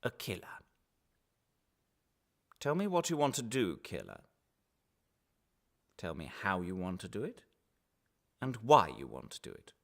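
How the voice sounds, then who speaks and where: An actor playing a menacing male official in a radio drama, falsely friendly and halting, sometimes sinking into a whisper. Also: low-pitched, wildly swooping pitch, vocal fry, fairly bright tone, heavily acted. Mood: sinister, cajoling. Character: smooth menacing male official interrogating a detainee. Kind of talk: one-man radio drama monologue.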